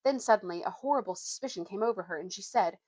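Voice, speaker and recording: A woman's voice: mid-range voice, fast talker, a little mellow, dry recording. A woman reading a storybook aloud informally, often stumbling and correcting herself as she narrates. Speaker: reader.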